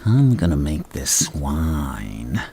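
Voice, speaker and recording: A man playing a flirtatious, menacing, unhinged villain in a gravelly half-whisper for an ASMR roleplay, slow and teasing with murmured hums. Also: very deep voice, big pitch swings, warm tone, slight background noise, heavily acted. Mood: intimate, sly.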